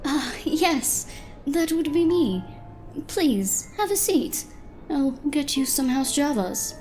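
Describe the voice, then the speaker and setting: A woman's voice: high-pitched, lively intonation, slow pace, a little mellow, faint music behind. A woman playing a polite, uneasy owner of a small diner in an audio drama. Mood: politely welcoming. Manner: polite, hesitant.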